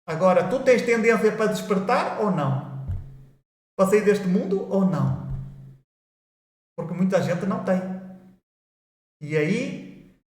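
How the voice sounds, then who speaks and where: A man's voice: high-pitched, animated, a little slow, long pauses, echoey room. A man with a European Portuguese accent giving a rambling, repetitive spiritual talk, restarting his sentences and mocking people's excuses. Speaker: spiritual video host. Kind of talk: informal spiritual monologue.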